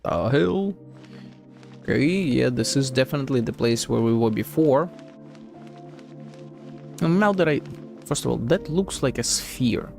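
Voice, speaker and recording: A man's voice: medium pitch, expressive, unhurried, vocal fry, pauses often, darkish tone, soft background music. A man streaming a video game with casual, rambling live commentary, playfully reacting aloud as he plays.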